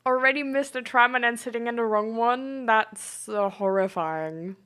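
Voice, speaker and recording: A young woman chatting casually on a live stream, full of fillers and quick reactions to chat. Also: on the high side, fairly bright tone, clear, resonant tone. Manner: chatty, filler-heavy, reacts aloud to chat with surprise and laughter. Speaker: streamer and peer support coach.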